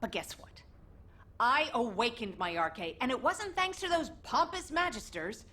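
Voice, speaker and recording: A woman's voice: a little high, lively intonation, unhurried, pushed and bright. An actress playing an obsessed, defiant female captain in a video game, speaking with intensity. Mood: bitter conviction. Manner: intense, defiant, sometimes breathy. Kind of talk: dramatic game cutscene acting.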